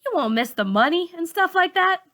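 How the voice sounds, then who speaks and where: A woman's voice: extremely high pitch, animated, normal speed, sharp tone, pitched above the performer's own voice, heavily acted. A woman voicing a blunt, nosy middle-school girl from a storybook, with informal, challenging questions. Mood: curious.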